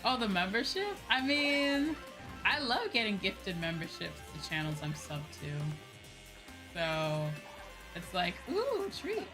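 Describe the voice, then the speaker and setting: A woman's voice: medium pitch, sing-song, takes it slowly, low-quality recording. A woman livestreamer chatting casually while gaming, rambling with asides and swearing freely in an informal way. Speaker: livestreamer, VTuber host. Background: music behind the voice.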